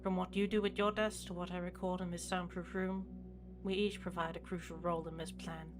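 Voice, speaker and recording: Woman acting sci-fi ship prefect, bureaucratic, measured, formal, lowish flat voice, music underneath. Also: lightly acted. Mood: composed, formal. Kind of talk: scripted sci-fi audio drama log.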